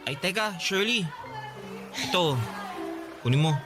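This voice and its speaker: A man's voice: high-pitched, lively intonation, a little slow, over music. A man playing an earnest, lovesick teenage schoolboy in a radio drama, pleading and insistent. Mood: eager, tender. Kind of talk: Tagalog radio drama acting.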